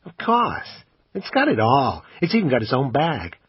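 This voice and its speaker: A man's voice: mid-range voice, wildly swooping pitch, normal speed, slightly rough, no room sound, pitched above the performer's own voice, exaggerated voice. A man voicing an eager, haggling male pawn shop salesman with a pushy sales patter. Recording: dull, slightly lo-fi.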